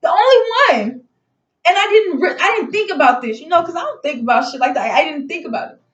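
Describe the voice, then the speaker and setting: A woman telling a personal story casually, in an animated, indignant way, acting out dialogue as she goes. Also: very high-pitched, brisk. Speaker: storytelling vlogger. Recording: muffled audio in echoey room.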